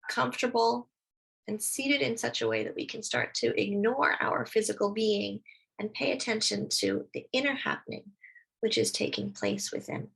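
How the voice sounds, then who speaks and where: A woman leading a guided meditation, speaking slowly and soothingly as she gives gentle instructions. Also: on the high side, fairly even intonation, gravelly, husky, low-quality recording.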